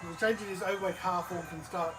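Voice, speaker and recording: A game master improvising narration at a tabletop game, halting and feeling for words. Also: mid-range voice, steady tone. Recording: distant from mic, music over the voice. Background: music.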